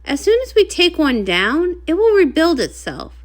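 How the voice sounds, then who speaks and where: A woman's voice: high-pitched, wildly swooping pitch, moderate pace, voice pushed up. A woman voicing a commanding, no-nonsense female pony guard captain in a storybook, brusque and skeptical. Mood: stern.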